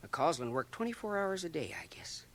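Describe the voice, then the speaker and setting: A man's voice: on the high side, very animated, a little fast, fairly bright tone. A man playing a folksy, gossipy small-town barber with a Canadian accent in a radio drama, chuckling and dropping into confidential asides. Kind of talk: radio drama acting, rambling monologue. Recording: 1980 radio drama, home cassette transfer.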